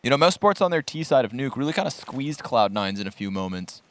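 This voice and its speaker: A man's voice: medium pitch, very animated, fast, fairly bright tone. A man giving live play-by-play commentary on a competitive video game match.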